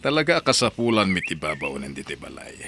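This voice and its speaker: Man playing a very low, gravelly boss. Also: very animated, fast talker, sharp tone, a little hiss or hum, full character voice. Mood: businesslike. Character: well-off male household employer, calm and bargaining. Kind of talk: audio drama acting.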